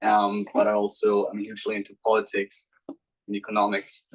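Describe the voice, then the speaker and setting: Young man, student panelist, deeper register with some rasp, spontaneous delivery. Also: monotone, brisk, rough recording. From Austria. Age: young adult. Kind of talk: unscripted panel answers.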